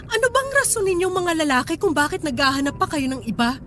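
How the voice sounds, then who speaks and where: Casting: a betrayed wife in radio drama, high voice, emotional and feisty, music underneath. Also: female voice, very animated, fast talker, a bit bright. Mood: wry, wounded. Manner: emotional, plaintive, exasperated. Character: tearful, feisty betrayed wife and working mother. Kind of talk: Filipino radio drama acting. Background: background music.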